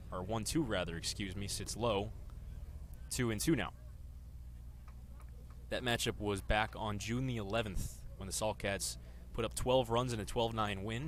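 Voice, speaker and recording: A man's voice: on the low side, slight background noise. A man in his twenties calling a baseball game live as a radio play-by-play announcer. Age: 20s. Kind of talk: live sports commentary.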